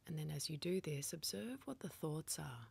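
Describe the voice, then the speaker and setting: A woman leading a guided meditation in a soft, whispery, breathy voice, calmly and gently instructing with pauses. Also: deep voice.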